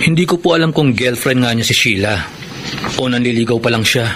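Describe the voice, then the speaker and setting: A man's voice: medium pitch, brisk, noisy background, lightly acted. A man playing a humble, well-meaning young pianist in a Filipino radio drama, respectful and earnest. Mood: troubled. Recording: music behind the voice.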